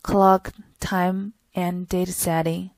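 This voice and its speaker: A woman's voice: lowish voice, level tone, very slow, darkish tone, dry recording. A woman reading a scripted product tutorial in a stilted, phrase-by-phrase way. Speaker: product demonstrator.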